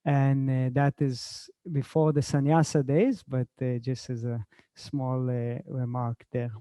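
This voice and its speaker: A man from Israel speaking as a swami, courteous and unhurried, in a gentle spiritual talk and meditation style. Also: mid-range voice, little pitch movement, no room sound.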